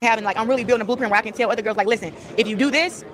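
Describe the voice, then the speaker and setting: A woman's voice: on the high side, racing, fairly bright tone. A woman speaking casually, as if in an interview, in a replayed clip. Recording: faintly noisy replayed clip.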